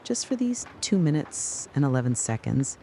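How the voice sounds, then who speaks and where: A narrator delivering a scripted, dramatic late-night soliloquy in a deliberate, brooding way, speaking straight to the listener. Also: mid-range voice, big pitch swings, warm tone, slight background noise. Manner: slow, deliberate, brooding second-person address.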